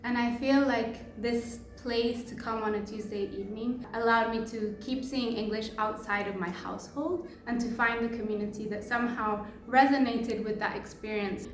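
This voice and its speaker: A woman's voice: mid-range voice, a little hiss or hum. Brief: Peruvian woman, easygoing interviewee, light laughter, roomy sound. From Peru. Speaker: club member. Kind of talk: documentary interview.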